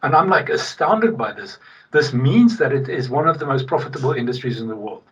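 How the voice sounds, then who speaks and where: Husky man from South Africa, distant. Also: medium pitch, a little fast. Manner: animated, emphatic, frequent restarts. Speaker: guest, logistics executive. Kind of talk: casual podcast interview. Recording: distant, dull remote-call sound.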